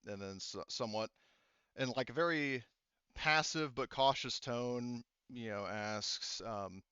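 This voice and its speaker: Male game master, smooth tone, casual halting narration, lo-fi online call sound. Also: mid-range voice, bright, forceful tone, dry recording. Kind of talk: improvised tabletop game narration. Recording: dull lo-fi voice-chat audio.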